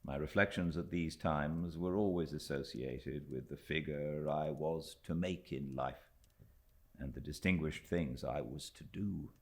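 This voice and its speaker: A British man reading a classic novel aloud as an audiobook narrator, measured and expressive, with deliberate pauses. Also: extremely low pitch. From Britain.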